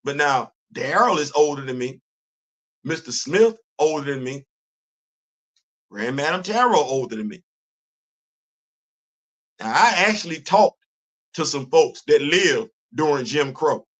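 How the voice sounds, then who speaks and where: A man in his fifties hosting a casual livestream, talking in an animated, preachy way, often repeating words and restarting his sentences. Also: a little high, measured pace, pauses often. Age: 50s. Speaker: host of a commentary livestream. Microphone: phone.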